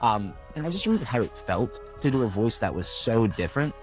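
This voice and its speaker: A young man, a voiceover artist, chatting in an interview and telling animated stories, acting out the voices of people he quotes. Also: medium pitch, fairly quick, faint music behind. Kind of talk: casual interview chat.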